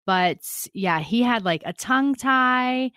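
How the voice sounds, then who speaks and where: A woman's voice: a little high, lively intonation, takes it slowly, bright, forceful tone, clean tone, no echo, clean studio sound. A young woman hosting a casual podcast, upbeat and matter-of-fact. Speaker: podcast host. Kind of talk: casual chat.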